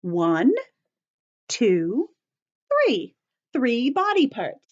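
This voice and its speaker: A woman teacher giving a story-time lesson to young children, explaining in simple, deliberate phrases and repeating key points. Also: on the high side, sing-song, dull audio.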